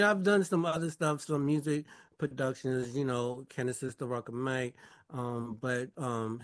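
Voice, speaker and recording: A man raised in Maryland, a guest on a show, talking in a laid-back way with laughs and fillers. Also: mid-range voice. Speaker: guest, founder. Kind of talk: casual interview talk.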